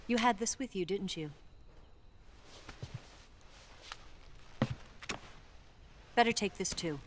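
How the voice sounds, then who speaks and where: A woman's voice: medium pitch, brisk, noisy background, close to an everyday voice. A woman playing a cool, confident female spy in a video game, speaking in a poised, sly and flirtatious way. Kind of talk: dramatic video game voice acting.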